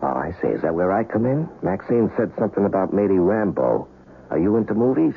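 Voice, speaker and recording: An actor playing a wary, shrewd small-time crook on the run, terse and guarded, in an old-time radio crime drama. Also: male voice, low-pitched, big pitch swings, a little fast, creaky, slightly rough, full character voice. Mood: guarded, curious. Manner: terse, guarded, tough-talking. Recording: muffled, hissy old radio recording.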